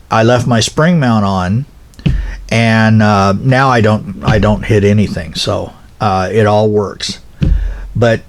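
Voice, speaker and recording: Casual, rambling man giving practical advice. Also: mid-range voice. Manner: rambling, folksy, halting with self-corrections. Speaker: pilot car operator giving equipment advice. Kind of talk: casual informal instruction.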